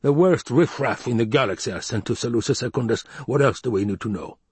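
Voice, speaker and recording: A man's voice: mid-range voice, fast talker, darkish tone, breathy edge, no echo, pitched above the performer's own voice. A man voicing a fat, scheming baron in an audiobook, pompous, blustering and petulant. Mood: irritated, dismissive. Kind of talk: dramatized audiobook reading.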